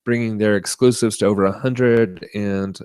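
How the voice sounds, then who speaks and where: A man co-hosting a podcast, chatting casually about tech and media in a halting, rambling way. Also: lowish voice, fairly even intonation, a little mellow, clean tone, no echo. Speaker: podcast co-host.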